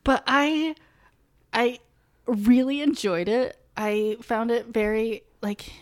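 Casual American woman in her thirties, animated storyteller. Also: fairly high pitch, slow pace. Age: 30s. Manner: animated storytelling with mock quoted voices and swearing. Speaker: podcast co-host. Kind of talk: casual chat about films and sports.

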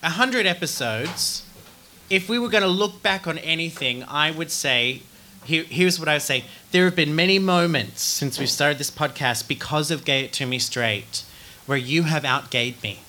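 A man co-hosting a podcast before a live audience, excitable and theatrical, quick to laugh. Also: on the high side, a little hiss or hum. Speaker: podcast co-host.

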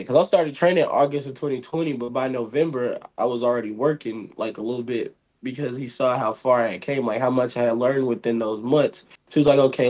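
A man's voice: medium pitch, a little mellow, rough recording. A young man in his twenties from Mississippi with a light voice, talking in a rambling way as a wrestler being interviewed. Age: 20s. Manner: rambling, many fillers and restarts. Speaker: guest, pro wrestler. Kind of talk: casual interview.

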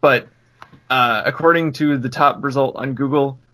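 Male podcast co-host, casual conversational delivery, sharp bright tone. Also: mid-range voice, fairly even intonation, normal speed. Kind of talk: casual discussion.

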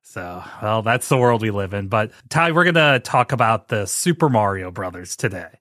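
A man's voice: on the low side, expressive, normal speed, bright, forceful tone, no room sound, studio quality. A man in his forties hosting a podcast, telling a long story in a chatty, rambling way with restarts. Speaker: podcast host. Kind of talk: casual podcast chat.

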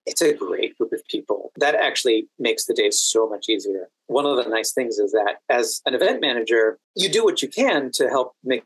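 A man's voice: mid-range voice, animated, normal speed, hardly any pauses, husky, no room sound, poor audio. A man with an American accent talking conversationally as a guest in an interview. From United States. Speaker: podcast guest, actor and event manager.

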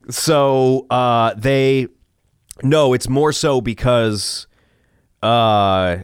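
A man co-hosting a casual podcast, rambling with fillers and quick laughs. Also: mid-range voice, smooth, no echo, clean studio sound. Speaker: podcast co-host and teacher.

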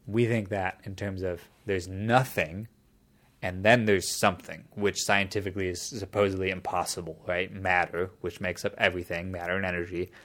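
A man's voice: low-pitched, level tone, normal speed, a bit bright. A man in casual conversation, explaining a topic at length in a thoughtful way, often restarting his sentences.

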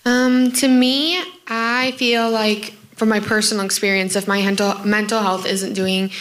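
An eighteen-year-old student on a panel, answering in a thoughtful, hesitant way with fillers. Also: female voice, mid-range voice, level tone, unhurried, a bit bright.

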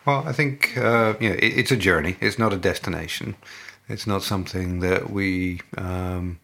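A middle-aged man, a native English speaker, answering interview questions in a measured, halting way with many fillers and restarts. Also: low voice, vocal fry, a bit bright. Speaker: company representative, interviewee.

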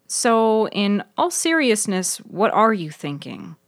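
A woman voicing a lively, teasing woman in an audio story, with a casual and animated manner. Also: mid-range voice, slow, dry recording, clean studio sound, pitched above the performer's own voice. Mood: casual, curious.

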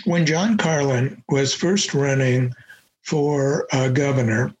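An older man with a husky, slightly breathy voice talks through his past at an unhurried pace. He pauses often and fills the gaps with ums as he gathers his thoughts. He is a retired professor, originally from Los Angeles. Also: medium pitch, low-quality recording. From Los Angeles, United States. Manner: reflective, halting with many fillers. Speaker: guest, professor emeritus. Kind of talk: conversational interview.

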